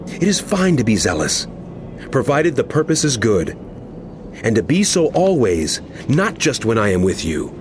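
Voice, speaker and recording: An actor playing an earnest male apostle who pleads with and admonishes his flock, impassioned and deliberate, in a dramatized scripture reading. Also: medium pitch, noisy background, close to an everyday voice. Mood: pleading, troubled. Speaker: actor in a dramatized audio Bible. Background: music.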